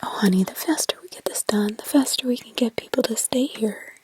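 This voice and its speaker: A woman voicing a calm young wife who speaks softly and reassuringly in a whisper. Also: a little high, fairly quick, lightly acted. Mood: soothing. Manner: soft, soothing, reassuring.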